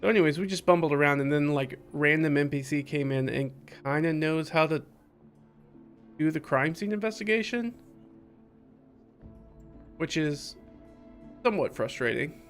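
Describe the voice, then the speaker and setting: A man with a slightly high voice talks casually through a video game. He muses and hesitates as he thinks aloud, with music playing behind him. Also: moderate pace, pauses often. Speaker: game streamer. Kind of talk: casual playthrough commentary mixed with reading game dialogue aloud.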